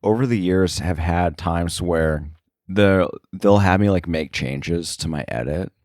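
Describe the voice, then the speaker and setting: A man's voice: deep voice, unhurried, darkish tone, no room sound, studio quality. A man speaking casually as a podcast guest, a sound effects editor with a self-deprecating, chuckling way of talking. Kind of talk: casual interview conversation.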